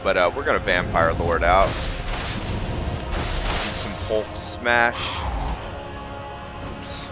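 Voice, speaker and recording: Low-voiced man doing gameplay commentary. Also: slow pace, long pauses, over loud music. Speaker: gamer narrating his playthrough. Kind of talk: casual gameplay commentary with roleplay.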